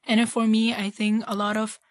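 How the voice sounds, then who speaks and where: A woman's voice: on the high side, flat delivery, normal speed, dry recording. A young woman from Hong Kong in her twenties, answering interview questions in a casual, thoughtful way. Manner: casual, thoughtful, uses filler like "like". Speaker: guest.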